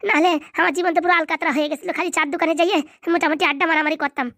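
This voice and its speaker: A man voicing an excitable, cheeky young male cartoon buddy, with slangy bantering delivery and a pitched-up effect. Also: very fast, no echo, studio quality, heavily acted. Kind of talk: comedy cartoon dubbing in colloquial rural dialect.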